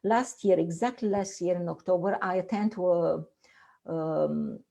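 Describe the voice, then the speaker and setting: A woman in her fifties from Romania, speaking English with a Romanian accent, giving an earnest personal testimony on a video call with halting, repetitive phrasing. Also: on the low side, gravelly, dark tone. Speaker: guest.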